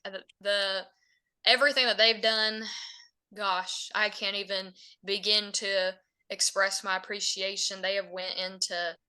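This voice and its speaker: A woman's voice: medium pitch, flat delivery, a little slow, sharp tone, smooth. A young woman from Virginia with a Southern Appalachian accent, a country singer chatting earnestly as a podcast guest. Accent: Southern Appalachian American. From Virginia, USA. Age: young adult. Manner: chatty and earnest, rambling run-on answers. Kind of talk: casual podcast interview.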